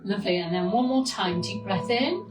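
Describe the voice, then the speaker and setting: A woman with a croaky voice, leading an online Pilates class in a chatty, encouraging way. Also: medium pitch, expressive, moderate pace. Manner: chatty, encouraging, with asides and self-corrections. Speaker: Pilates instructor. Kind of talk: instructing an online exercise class. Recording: echoey room, mic from a distance, music behind.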